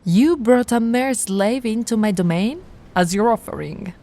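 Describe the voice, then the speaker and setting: A woman playing a haughty, possessive immortal vampire aristocrat in an ASMR roleplay, imperious and condescending, theatrical, often dropping into a breathy whisper. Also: a little high, a little slow, mellow. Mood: disdainful, bored.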